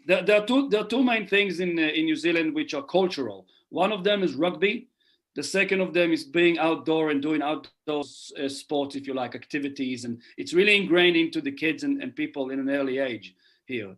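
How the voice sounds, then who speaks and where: Earnest man, higher voice. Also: brisk, fairly bright tone. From Israel. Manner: earnest, repeats phrases while thinking. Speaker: guest. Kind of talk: casual podcast conversation.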